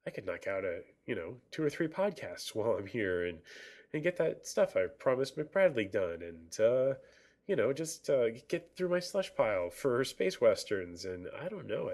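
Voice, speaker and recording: A man's voice: fairly low pitch, a little mellow. A man in his thirties hosting a casual solo podcast, his voice froggy from a cold, rambling with many fillers and restarts. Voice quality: froggy, congested. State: stuffy from a cold. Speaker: podcast host. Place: dorm room on a college campus.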